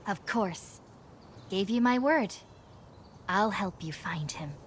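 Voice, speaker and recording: With some ambient noise behind her, a loyal video game heroine speaks. Her voice sits at a middle pitch and moves very slowly, plain and reassuring. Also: expressive. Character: loyal, supportive female game protagonist. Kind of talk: video game story dialogue acting.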